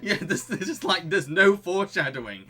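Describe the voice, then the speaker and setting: A man's voice: sits high, expressive, normal speed, sharp tone, breathy edge. A young man hosting a casual film discussion podcast. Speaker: podcast host.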